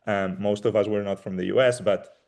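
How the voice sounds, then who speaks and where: A man who is not an English speaker, hosting a webinar over a video call and speaking in halting phrases full of repeats and fillers. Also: lowish voice, fairly even intonation, gravelly, a little mellow. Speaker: webinar host.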